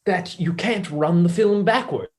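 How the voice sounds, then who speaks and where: A man's voice: high-pitched, measured pace, a little mellow. A man playing an earnest young scientist in a staged play reading, talking in an explanatory way. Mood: earnest, absorbed.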